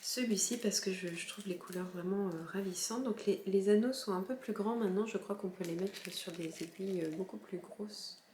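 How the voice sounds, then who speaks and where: A woman's voice: mid-range voice, moderate pace, hardly any pauses, a little mellow, echoey room, slight background noise. A woman from southern France hosting a knitting podcast, showing her purchases in a casual, hesitant way with many fillers and restarts. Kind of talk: casual show-and-tell chat.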